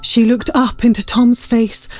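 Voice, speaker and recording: A woman's voice: on the high side, level tone, unhurried, a little mellow, soft background music. A woman narrating a classic period story in a scripted, dramatic style.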